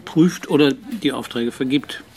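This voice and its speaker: A male actor plays a discreet police commissario in a German radio drama. The voice is noticeably creaky at a middling pitch, and the questioning is understated but persistent. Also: unhurried, darkish tone, lightly acted. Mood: businesslike, probing.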